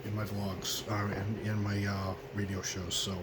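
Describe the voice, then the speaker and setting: A hoarse man in his twenties from the United States hosting his own radio show, speaking in a halting, earnest way full of ums and restarts. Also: lowish voice, completely flat delivery, very noisy. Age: 20s. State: hoarse, coughing. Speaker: host of a home radio show. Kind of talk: solemn remembrance monologue.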